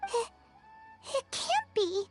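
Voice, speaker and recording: A tiny floating fairy companion from a video game, with an extremely high, squeaky, childlike voice. The pitch swings in a very animated way, and the manner is earnest and kind. Music plays behind the voice. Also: female voice, takes it slowly, exaggerated voice. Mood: shocked.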